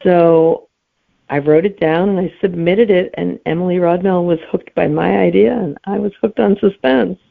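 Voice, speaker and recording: A woman's voice: fairly low pitch, normal speed, creaky. A middle-aged woman talking casually as an interview guest, over a narrow, tinny line. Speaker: guest author and teacher.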